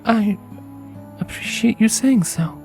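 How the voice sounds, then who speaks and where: Female storybook pony played by a man, raised pitch, soft and breathy, playful, affectionate, music behind. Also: slow. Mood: flustered, touched. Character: confident teasing female pony, tender and affectionate.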